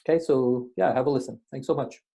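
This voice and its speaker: A man's voice: mid-range voice, steady tone, quick pace, creaky, warm tone. A man hosting an interview podcast, speaking with frequent hesitations and fillers. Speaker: podcast host. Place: remote call.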